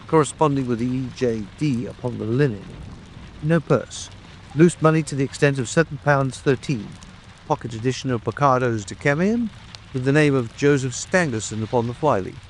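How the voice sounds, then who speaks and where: A man's voice: mid-range voice, normal speed, a little hiss or hum, natural voice. A man playing a proud, easily offended Victorian police detective in a dramatic audiobook, matter-of-fact and defensive. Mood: businesslike. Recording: steady rain ambience over voice. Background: rain.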